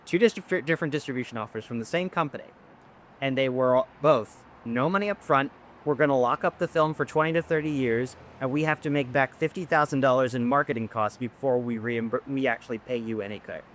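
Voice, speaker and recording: A man's voice: medium pitch, fairly quick, slight background noise. A middle-aged man hosting a casual conversation in a restaurant, speaking bluntly and with emphasis. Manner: emphatic, blunt, frequent backchannels. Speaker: host.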